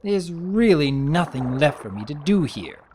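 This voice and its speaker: A man's voice: fairly high pitch, sing-song, a little slow, darkish tone, reverberant, a little hiss or hum, pitched above the performer's own voice. A man voicing an irritable, pampered noble stallion baron from a cartoon pony story, demanding and haughty. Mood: resigned, bossy.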